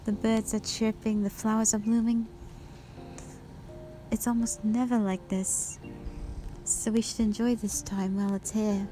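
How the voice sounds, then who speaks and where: A woman playing a gentle, devoted dragon wife in a romantic audio roleplay, speaking tenderly in formal, old-fashioned phrasing. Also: mid-range voice, flat delivery, unhurried, pauses often, natural voice, low-quality recording. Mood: tender, happy. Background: music.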